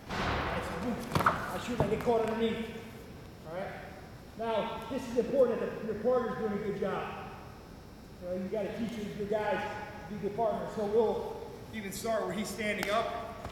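A man's voice: sits high, noisy background. A man coaching at a wrestling clinic, who explains each move step by step and keeps saying "all right.". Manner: instructive, repeats "all right" often. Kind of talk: clinic demonstration. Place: large gym arena with wrestling mat. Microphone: far camera. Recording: echoey arena from far off.

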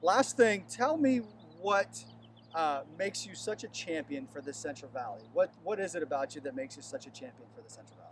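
American guy in his 30s, pretty high voice, chummy interview host, outside with some hum behind him. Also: animated, fairly quick. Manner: chummy, informal, addresses people as man. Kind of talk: casual upbeat promotional interview. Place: outdoors on an empty baseball stadium field.

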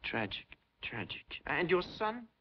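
An actor playing a polite, unctuous secret-police major who feigns sympathy in a 1950s film. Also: male voice, a little high, sing-song, a little slow, breathy edge, exaggerated voice. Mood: mock concern. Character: smooth, falsely courteous Soviet secret-police major interrogating a prisoner. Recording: dull, noisy 1950s film soundtrack.

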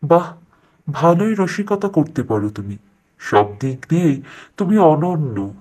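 A man's voice: on the high side, very animated, measured pace, darkish tone. A man voicing an arrogant middle-aged sorcerer king in a fairytale cartoon, self-assured, wooing and scheming. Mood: amused.

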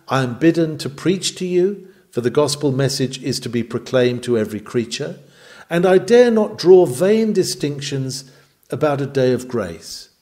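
Guy reading an old-style sermon out loud, slightly high voice, earnest n emphatic preacher delivery. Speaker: reader of historical sermons. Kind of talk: dramatic read-aloud sermon.

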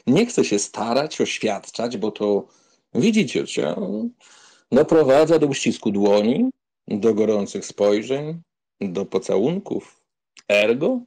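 Man acting a flippant, lively young count. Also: medium pitch, slightly rough, heavily acted. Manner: mocking, amused, mock-solemn. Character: flippant, teasing aristocratic young count, cocky duelist. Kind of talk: audiobook drama acting.

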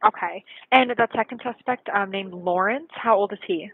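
A woman speaks through a thin, phone-like line with little room sound. Her voice is mid-pitched and noticeably husky. She questions in the procedural style of an emergency call taker. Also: moderate pace, dry recording.

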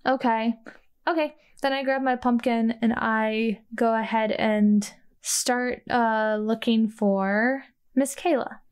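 A woman with a fairly high voice takes part in a tabletop role-playing game. She tells her character's moves in the first person, casually and a little tentatively, working them out as she talks. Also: steady tone, takes it slowly, clean tone. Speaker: player in an actual play podcast.

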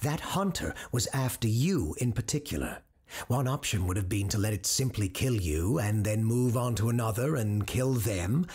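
A man's voice: medium pitch, darkish tone, slightly rough. A man playing a cold, self-assured radio doctor. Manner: clinical, self-assured, dismissive. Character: cold calculating male radio host doctor, supernatural expert. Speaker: radio host. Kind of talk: audio drama acting.

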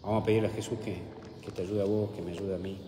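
Man, Argentine accent, priest, very low voice, solemn measured prayer, long hall echo. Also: level tone. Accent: Argentine Spanish. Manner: solemn liturgical recitation, slow and measured in prayers. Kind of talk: homily and celebrating Mass. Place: small chapel with altar, indoors. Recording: roomy hall echo with background hiss.

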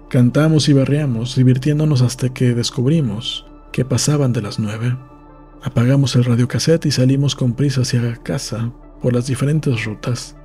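Mellow man reading a story over faint music. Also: mid-range voice. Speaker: audiobook reader. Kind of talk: scripted literary narration.

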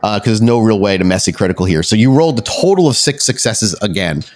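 Animated male game master, lively pitch. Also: fast. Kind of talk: narrating a tabletop horror game, casual. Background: faint music.

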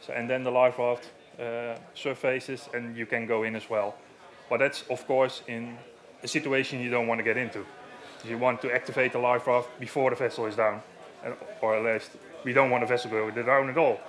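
A man's voice: mid-range voice, flat delivery, brisk, fairly bright tone. A man in his thirties with a Dutch accent, giving a live stage demonstration in a marquee on a headset mic, explaining plainly with many fillers. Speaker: safety equipment rep. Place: large marquee at outdoor show.

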